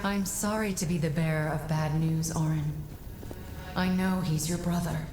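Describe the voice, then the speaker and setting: A woman playing a grave advisor in a high fantasy game, explaining things in a measured, earnest way. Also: steady tone, background noise, lightly acted. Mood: solemn warning. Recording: roomy echo, game music behind.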